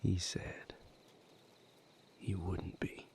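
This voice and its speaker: A man playing a weary, wounded vampire healer in an intimate audio roleplay, speaking in a breathy, gravelly, halting and earnest way. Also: low-pitched, flat delivery, darkish tone, full character voice. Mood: troubled, reflective. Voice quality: breathy, gravelly, half-whispered. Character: weary, wounded male vampire healer leaving his house.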